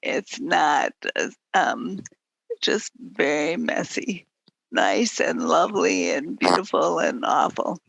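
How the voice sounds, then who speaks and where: Woman with a fading whispery voice, really gravelly and husky, talks slow and halting. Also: deep voice, sing-song, dry recording, low-quality recording. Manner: slow, halting, breathy with long pauses. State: voice weakening, often whispered. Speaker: host of a conversation series. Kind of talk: candid group conversation.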